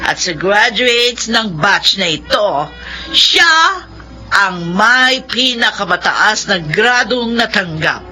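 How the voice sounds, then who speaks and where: A woman's voice: medium pitch, very animated, takes it slowly, bright, forceful tone, soft background music, full character voice, poor audio. A woman playing a proud ceremony announcer, formal and celebratory, in a radio drama. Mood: proud, ceremonial. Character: proud female ceremony announcer presenting an academic award.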